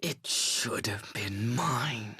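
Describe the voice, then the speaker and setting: A man's voice: mid-range voice, lively intonation, very slow, sharp tone, slightly rough, no room sound, studio quality, heavily acted. An actor playing a jealous, spiteful young male villain in a video game cutscene, theatrical and venomous, with formal archaic diction. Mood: bitter resentment.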